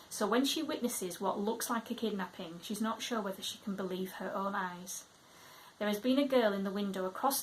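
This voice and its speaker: A woman with a Yorkshire accent chatting through her reading plans as a book vlogger, reading blurbs aloud in a measured, chatty way and trailing off into soft asides. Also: medium pitch, a little hiss or hum, poor audio.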